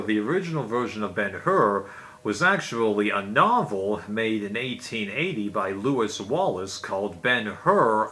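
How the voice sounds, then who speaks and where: A man's voice: on the low side, sharp tone. A man giving a casual, unscripted explainer as a video host, in a rambling, conversational way.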